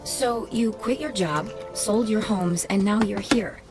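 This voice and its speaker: An actress playing a bitter, guarded ex-wife in a radio drama, speaking in a sardonic, clipped, reproachful way. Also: female voice, mid-range voice, measured pace, lightly acted. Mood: skeptical. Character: bitter, guarded, sarcastic ex-wife, no-nonsense woman. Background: faint background music.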